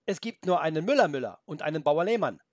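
An earnest young boy from a storybook, voiced by a man, in a somewhat high, close and dry voice. He is emphatic and insistent, and easily exasperated. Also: sing-song, fast talker, dry recording. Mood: puzzled. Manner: emphatic, correcting. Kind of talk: children's storybook character voice.

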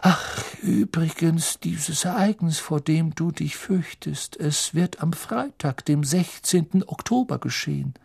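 Spooky airy lady fortune teller teacher, high n husky, drags words out all dramatic, audiobook style. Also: darkish tone, no echo, studio quality, heavily acted. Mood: airy, portentous. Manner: slow, drawn-out, portentous delivery. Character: thin, misty, theatrical female fortune-telling teacher, ominous and airy.

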